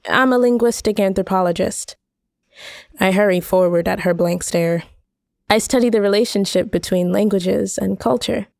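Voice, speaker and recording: A woman narrating a first-person short story expressively, with measured, deliberate phrasing. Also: medium pitch, vocal fry, darkish tone, no echo, clean studio sound.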